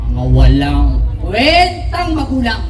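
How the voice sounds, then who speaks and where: A woman's voice: wildly swooping pitch, takes it slowly. A woman playing an impassioned, indignant young person in a theatrical stage play, recorded from afar outdoors. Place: outdoor stage in a park, far from mic. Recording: distant echoey outdoor stage, loud music, rumble.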